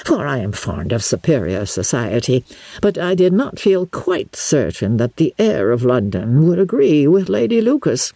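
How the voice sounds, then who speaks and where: A woman playing a genial, talkative older gentleman with an effusive, flowery, gallant manner in an audiobook. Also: rumbling low, sing-song, normal speed, vocal fry, dry recording, exaggerated voice. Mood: affable.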